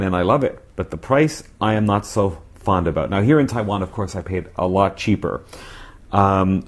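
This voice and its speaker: A middle-aged man giving a casual, emphatic product review to camera, repeating phrases for stress, on a lapel mic in a living room. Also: lowish voice. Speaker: radio reviewer. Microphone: clip-on lapel mic.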